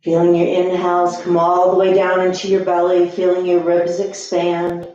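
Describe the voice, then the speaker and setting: A woman teaching yoga, guiding breath and posture gently and soothingly with long pauses. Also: lowish voice, monotone. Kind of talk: guided yoga and meditation instruction. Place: echoey indoor room. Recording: echoey room, slightly dull sound.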